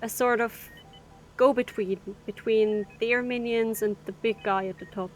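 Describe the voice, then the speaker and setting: A woman's voice: on the high side, steady tone, unhurried, lightly acted, poor audio. A woman playing a frank, rueful cargo captain in an audio drama, talking in a matter-of-fact way.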